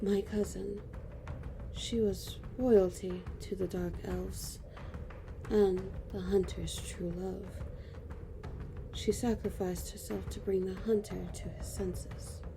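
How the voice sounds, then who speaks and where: A woman's voice: medium pitch, fairly even intonation, music in the background. A woman playing a grave elven noblewoman who recounts ancient history somberly in a fantasy audio drama. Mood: somber, measured. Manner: measured, somber. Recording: clear background noise and ambience.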